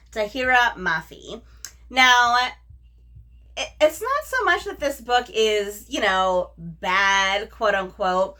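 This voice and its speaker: A woman's voice: mid-range voice, pushed and bright. A woman hosting a book review vlog, chatting casually and enthusiastically to viewers, often repeating words for emphasis. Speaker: book reviewer, video host.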